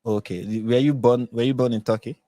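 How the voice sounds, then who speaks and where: A man's voice: medium pitch, fairly even intonation, fairly quick, mellow, no echo. A man from Nigeria hosting a casual live stream, rambling with lots of fillers and restarts. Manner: rambling, many fillers and restarts, laughs often.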